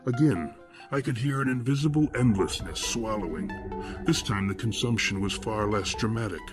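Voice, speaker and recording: A man acting as a somber first-person narrator of horror fiction, grave and deliberate in ornate storytelling. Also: fairly low pitch, lightly acted. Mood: grave, ominous. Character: somber male narrator recalling nightmarish horrors. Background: loud music.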